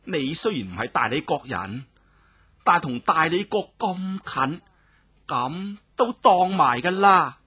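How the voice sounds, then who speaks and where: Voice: medium pitch, animated, slow, pushed and bright, slightly rough, highs cut off, full character voice. A narrator voicing a cold, cruel, imperious noblewoman who speaks Cantonese with scornful, commanding menace. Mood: scornful, menacing.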